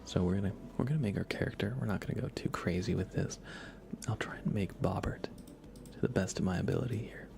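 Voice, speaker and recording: A man livestreaming a game in ASMR style, softly whispering in a relaxed, casual way as he thinks aloud and chats with viewers. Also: sits low, fast talker, a little hiss or hum. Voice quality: soft, breathy whisper.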